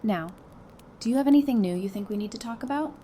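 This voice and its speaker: A woman playing a sassy, sarcastic, quick-witted wife with dry, teasing delivery in an audio drama. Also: a little high, expressive, warm tone, lightly acted. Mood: matter-of-fact. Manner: dry, teasing, matter-of-fact. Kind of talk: fan audio drama acting. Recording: clearly noisy background.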